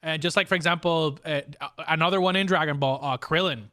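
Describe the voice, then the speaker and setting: A man hosting a casual fan discussion, talking informally and excitably, often repeating phrases as he goes. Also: a little high, quick pace, no echo, studio quality. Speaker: podcast host.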